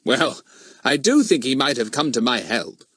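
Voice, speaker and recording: Man voicing an earnest, dignified schoolboy, raised pitch. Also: huge pitch swings, normal speed, dry recording. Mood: half vexed. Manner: earnest, articulate. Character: plucky, dignified, well-spoken schoolboy leader.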